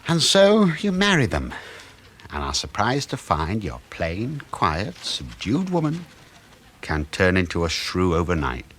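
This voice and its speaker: A very low, animated British male voice plays a sardonic older husband with a scheming streak. He speaks with dry, insinuating sarcasm in 1970s radio drama style, over faint recording noise. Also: measured pace, full character voice. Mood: sardonic, inviting.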